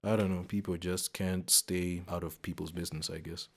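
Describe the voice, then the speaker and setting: A young man speaking as a reaction video host, giving casual, off-the-cuff commentary in a measured, reflective way, with sentences that tail off. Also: low-pitched, steady tone. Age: young adult.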